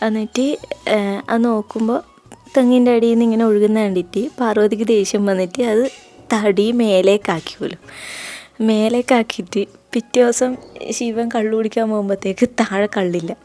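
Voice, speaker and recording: A woman's voice: medium pitch, steady tone, brisk, mellow, soft background music. A woman with a North Kerala accent from Kannur, telling things casually and a little hesitantly, like an informal vlogger. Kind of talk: casual storytelling and chat while walking.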